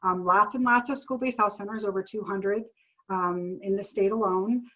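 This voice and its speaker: A friendly, chatty woman talks over a video call, her voice a little stuffy as she gets over a sinus illness. The call audio is dull and lo-fi, and she speaks at an everyday middle pitch. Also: steady tone, moderate pace, a bit bright. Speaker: art therapist and clinical director.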